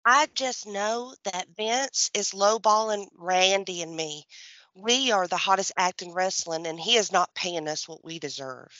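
A woman's voice: medium pitch, measured pace, pushed and bright, no echo. A woman playing a proud, indignant, underpaid wrestling manager in a comedic audio play. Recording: dull lo-fi audio.

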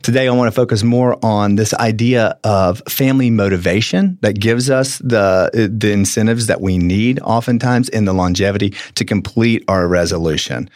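A man's voice: lowish voice, moderate pace, hardly any pauses, darkish tone, dry recording. A middle-aged man co-hosting a casual studio talk show, earnest, often restarting his sentences and laughing easily. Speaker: co-host. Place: radio studio.

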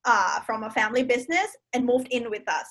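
Thai woman guest on a podcast, kinda high voice, lots of um and like, restarts a lot. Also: animated, fairly quick, a bit bright. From Thailand. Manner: hesitant, many fillers and restarts. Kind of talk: casual conversation.

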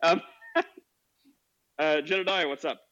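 A man hosting a casual call-in discussion, relaxed and jokey with loose phrasing. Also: on the high side, takes it slowly. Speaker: host. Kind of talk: casual chat. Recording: dull lo-fi audio.

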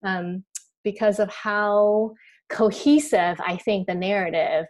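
A woman's voice: mid-range voice, slow pace, darkish tone. Thoughtful woman guest from Taiwan. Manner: reflective, thoughtful with fillers. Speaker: author and guest. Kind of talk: interview conversation.

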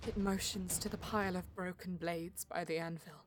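A woman's voice: fairly low pitch, fairly even intonation, moderate pace, over music, close to an everyday voice. A woman playing a determined alien artisan who narrates her desperate fight tensely and dramatically in a sci-fi audio drama. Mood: focused.

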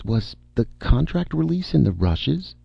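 A man's voice: medium pitch, sing-song, moderate pace, gravelly, slightly rough, no echo, pitched above the performer's own voice, full character voice. A dim, placid film actress, put on by a man, speaks in a raised voice with a vague, dreamy, unruffled manner. It is a lilting storybook character on a slightly dull recording. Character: vague, placid, dim-witted young female film star.

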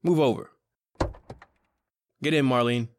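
A man's voice: mid-range voice, slow pace, slight background noise. An actor playing a bossy, hard-boiled American male crook who leads a gang in an old-time radio crime drama, talking in curt, tough slang. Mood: impatient, bossy.

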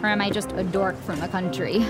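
A woman's voice: mid-range voice, steady tone, fairly quick, loud music behind the voice, natural voice. A woman playing a naive, self-deprecating young small-town newcomer in an audio drama monologue. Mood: nervous, self-mocking. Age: young adult.

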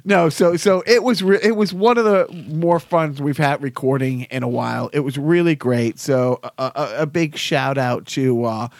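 A man's voice: a little high, normal speed, hardly any pauses, dry recording, studio quality. A man co-hosting a comedy podcast, joking and rambling in casual chat. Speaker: podcast co-host.

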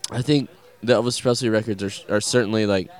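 Low-voiced rambling man with a Southern accent. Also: fairly even intonation. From American South. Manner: rambling, halting, many fillers and restarts, swears casually. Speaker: musician being interviewed. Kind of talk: casual interview answers.

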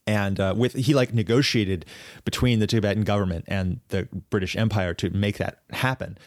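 A man with a fairly low voice talks through a long historical explanation on a casual podcast. He is thoughtful and halting, restarting phrases as he works out his thoughts. The sound is dry and close, with no room echo. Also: fairly even intonation. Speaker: podcast co-host.

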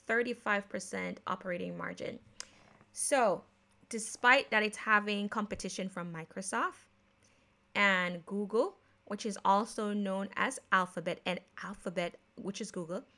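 A woman's voice: mid-range voice, gravelly, pushed and bright. A woman from Jamaica with a Jamaican accent mixed with American speech, hosting her own podcast in a chatty, casual way, with many fillers and restarts and laughing at herself. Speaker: podcast host. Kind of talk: casual solo podcast talk on investing.

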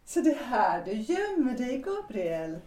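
A woman's voice: on the high side, sing-song, warm tone. An actress playing a composed, guarded married society woman in a period audio drama. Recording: roomy echo.

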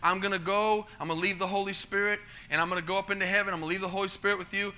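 A man's voice: sits high, fairly even intonation, very fast, pushed and bright. A middle-aged American man preaching a sermon, teaching in a conversational way, repeating words and checking that the congregation follows. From United States. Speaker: pastor.

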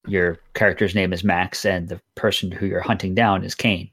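Laid-back low-voiced male podcaster. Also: normal speed, no echo, studio quality. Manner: relaxed, hesitant, lots of ums. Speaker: podcast co-host. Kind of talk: casual gaming chat.

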